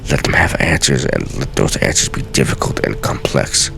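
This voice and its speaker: A man's voice: lively intonation, moderate pace, music in the background, exaggerated voice. A man playing an aged master assassin, grave, weary and theatrical, reading out solemn journal entries from a video game. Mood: solemn, resolute. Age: older. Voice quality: very deep, gravelly.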